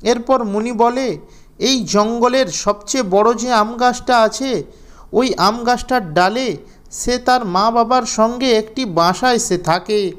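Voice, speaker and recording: A man's voice: very high-pitched, slow, fairly bright tone. A man narrating a scripted children's fairy tale as storyteller.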